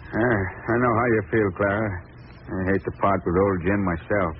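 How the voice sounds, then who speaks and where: A man's voice: on the low side, fairly even intonation, moderate pace, close to an everyday voice. An actor playing a poor, pious, resigned country father in a 1940s radio drama. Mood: sad, resigned. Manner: plain rustic folksy talk. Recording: tinny, faintly noisy old radio recording.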